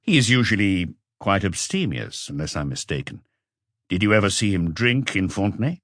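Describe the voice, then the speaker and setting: A calm police inspector from an audiobook, acted by a man. He questions in a measured, probing way with few words. The voice is fairly low and recorded very dry. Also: no echo.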